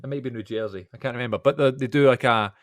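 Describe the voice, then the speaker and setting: A man's voice: mid-range voice, rapid-fire, no echo, clean studio sound. A man, co-hosting a podcast, chatting eagerly and rambling with lots of fillers. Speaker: podcast co-host. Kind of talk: casual chat.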